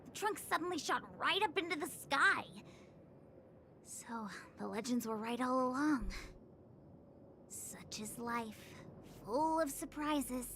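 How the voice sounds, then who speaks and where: A woman's voice: high-pitched, lively intonation, slow, bright, forceful tone, a little hiss or hum, heavily acted. An actress voicing an easygoing, carefree young female subordinate in a game, with a breezy, casual manner. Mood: breezy, unbothered. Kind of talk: scripted game dialogue. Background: game music.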